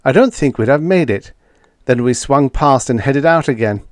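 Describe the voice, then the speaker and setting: A man's voice: mid-range voice, lively intonation, moderate pace, no room sound, studio quality, voice pushed up, natural voice. A man playing a weary starship captain. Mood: tense. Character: gaunt, weary starship captain, steady and determined.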